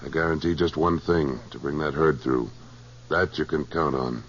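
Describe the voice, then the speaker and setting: An actor in a 1950s radio western playing a tough American trail boss, clipped, cool and authoritative. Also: male voice, rumbling low, steady tone, moderate pace. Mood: curt, firm. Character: tough, terse, commanding male trail boss. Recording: muffled old radio recording, faint hum.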